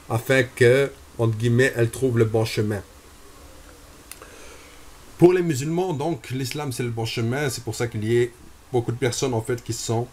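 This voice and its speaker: A man in his twenties from Algeria, giving a personal religious testimony, slow and deliberate with frequent hesitations. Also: mid-range voice, darkish tone. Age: 20s.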